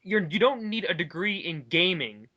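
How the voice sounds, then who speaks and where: A man's voice: high voice, sing-song, moderate pace, sharp tone, muffled recording. A man in a casual, opinionated discussion, talking at length in an emphatic, argumentative way. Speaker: online commentary co-host.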